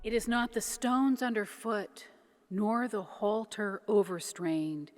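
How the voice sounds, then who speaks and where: A woman reads devotional poetry in a solemn, reverent manner, with deliberate, measured phrasing. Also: mid-range voice, darkish tone, soft background music. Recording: long church-like reverberation.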